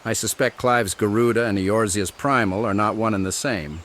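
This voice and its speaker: An actor playing a shrewd, articulate minstrel in a video game, speaking deliberately and wryly with a gravelly voice. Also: male voice, lowish voice, a bit bright, slight background noise, close to an everyday voice. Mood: thoughtful, wry. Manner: deliberate, storytelling, wry. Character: shrewd, well-travelled male minstrel, thoughtful and articulate. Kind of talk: scripted video game voice acting. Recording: studio voice under game music.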